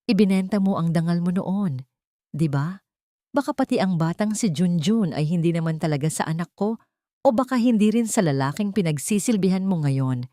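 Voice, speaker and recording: A woman narrator plays a spiteful old mother-in-law in an audio drama. She sneers and accuses in a fairly low female voice. The sound is dry. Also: lively intonation, normal speed, dark tone, dry recording, lightly acted. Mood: loud accusing contempt. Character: spiteful, mocking elderly former mother-in-law.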